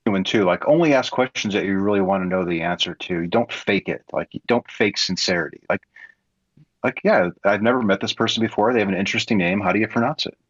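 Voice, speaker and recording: Husky low-voiced man giving advice. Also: fast talker, no echo, highs cut off. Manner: relaxed, explaining with many likes. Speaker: co-host of an audio chat room. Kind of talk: casual advice talk.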